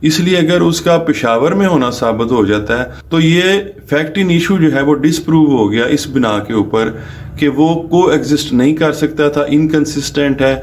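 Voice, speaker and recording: A man's voice: fairly high pitch, moderate pace, slight background noise. A man from Pakistan with a Pakistani accent, lecturing as a lawyer in a methodical, step-by-step way and mixing in English legal terms. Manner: methodical, explains step by step with restatements. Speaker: lawyer giving a law lecture.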